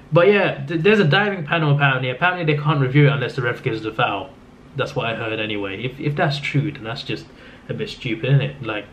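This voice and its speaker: Hoarse guy rambling a football recap. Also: medium pitch. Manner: subdued, flat-sounding delivery; rambling, with frequent restarts and fillers. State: losing his voice. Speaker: football vlogger. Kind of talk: casual solo football recap. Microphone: camera mic. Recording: slightly dull lo-fi audio with faint noise.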